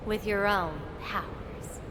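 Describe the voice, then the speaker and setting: A woman's voice: medium pitch, slow, heavy background noise, lightly acted. A woman playing a fierce, proud young swordfighter in a game cutscene, talking bluntly and in a domineering way. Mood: commanding. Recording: reverberant hall over music.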